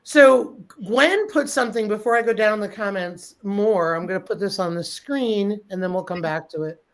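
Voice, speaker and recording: A woman's voice: medium pitch, normal speed. A snuffly woman hosting a casual livestream, greeting viewers by name and giving practical homestead advice. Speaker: host.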